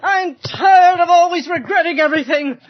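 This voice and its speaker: An actress playing a scientist's neglected wife in an audio drama, with a biting, sarcastic delivery. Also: female voice, extremely high pitch, moderate pace. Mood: furious. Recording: dull lo-fi audio.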